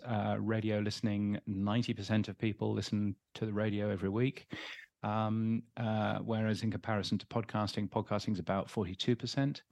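A middle-aged man with an English accent talks through interview answers in a casual, halting way, filling pauses with ums. His voice sits slightly low and has a gravelly edge. The recording is dry and close. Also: a little mellow, dry recording. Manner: hesitant, many ums and restarts. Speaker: interview guest.

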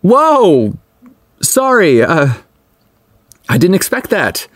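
A man acts out an eager, awkward guy on a date in an audio story. He is hesitant and teasing, with lively, swooping pitch and slow delivery in a dry recording. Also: no room sound. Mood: flustered.

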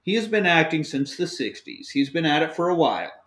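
A man's voice: fairly high pitch, very animated, fairly quick, fairly bright tone. A man hosting a podcast, recapping a movie in a casual, joking, profane way. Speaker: podcast host. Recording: roomy echoey room.

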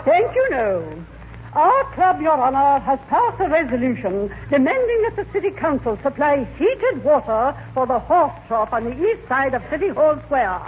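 High, fluttery, haughty society matron. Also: female voice, very animated, moderate pace. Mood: earnest, formal. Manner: pompous, formal, affected diction. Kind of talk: 1940s radio comedy acting. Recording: noisy, muffled 1940s radio recording.

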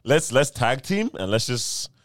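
A man's voice: medium pitch, sing-song, measured pace, no room sound, studio quality. A man co-hosting a podcast, talking casually in slangy, conversational phrases and circling back over his thoughts. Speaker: podcast co-host. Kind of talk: casual chat.

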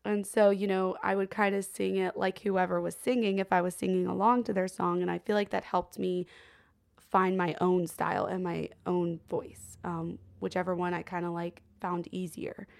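A woman's voice: fairly low pitch, flat delivery, moderate pace, vocal fry. A young woman co-hosting a podcast, talking casually with lots of fillers and frequent laughs. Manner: chatty, many fillers like "um" and "like", laughs often. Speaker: podcast co-host. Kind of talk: casual chat.

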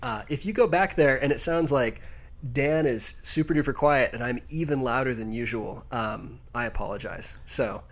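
A young man co-hosting a casual podcast chat, loud and rambling, with quick restarts. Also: medium pitch. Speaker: podcast co-host.

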